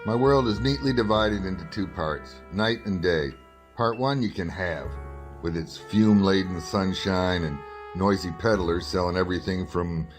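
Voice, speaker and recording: An actor playing a sardonic, grumbling, world-weary night reporter in a 1950s-style radio drama. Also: male voice, sits low. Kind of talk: 1950s radio detective drama narration and dialogue.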